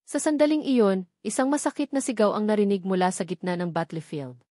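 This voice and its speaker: Woman, audiobook narrator, measured formal reading, medium pitch, dry recording. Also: dark tone, dry recording. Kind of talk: scripted audiobook narration of an action novel.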